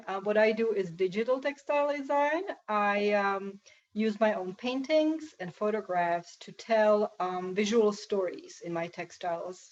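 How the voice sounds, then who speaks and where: A woman's voice: mid-range voice, highs cut off. A woman from the Czech Republic with a Czech accent, an artist answering questions as an interview guest. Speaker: guest, textile artist and educator. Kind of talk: conversational interview.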